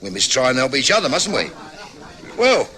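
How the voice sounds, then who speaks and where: An actor playing a breezy, prying working-class London husband with a London accent, chummy and wheedling, in an old radio drama. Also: male voice, mid-range voice, big pitch swings, normal speed, sharp tone.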